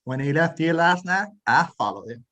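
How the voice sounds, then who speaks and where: Casting: man as watchful old ghost husband, grumbling, lighter high voice, radio play. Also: lively intonation, moderate pace, bright, forceful tone. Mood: conspiratorial. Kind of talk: amateur radio drama acting.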